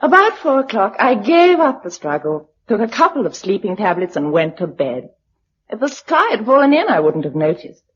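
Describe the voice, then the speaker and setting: A woman's voice: medium pitch, very animated, normal speed, slightly rough. Well-bred young Englishwoman, radio play, shaken and vulnerable, hesitant, swooping intonation, dull lo-fi sound. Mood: anxious recounting. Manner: genteel, hesitant, emotional. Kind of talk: radio drama acting. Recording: dull lo-fi radio drama recording.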